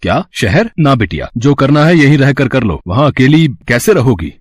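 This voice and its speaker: Man voicing a husky, protective village father. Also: medium pitch, big pitch swings, moderate pace, dry recording, studio quality. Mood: reluctant, worried. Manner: fatherly, cautious. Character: Lalchand, protective middle-aged village farmer father. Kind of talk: Hindi cartoon moral story acting.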